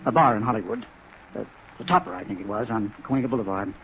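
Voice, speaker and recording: Hesitant beach-bum, old radio. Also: male voice, medium pitch, big pitch swings, fast talker, gravelly, fairly bright tone, low-quality recording. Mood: earnest, uneasy. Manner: hesitant, earnest. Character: stunned, defensive beach-bum actor, man in mid-thirties. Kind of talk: 1950s radio drama acting. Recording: old radio recording, faint rainy hiss.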